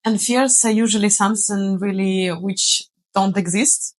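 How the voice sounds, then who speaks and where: A woman hosting an interview podcast and working as a coach. Her voice sits at an ordinary mid pitch with a plain, even tone. She asks her questions haltingly, often restarting her sentences and pausing on fillers.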